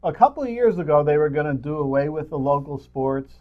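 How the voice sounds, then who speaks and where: A man's voice: on the high side, expressive, a little fast, narrow, tinny audio. A man co-hosting a movie review show, chatting casually in a rambling, jokey way. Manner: rambling, jokey, chuckles at own remarks. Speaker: co-host of a local film review show. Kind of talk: casual unscripted chat about movies.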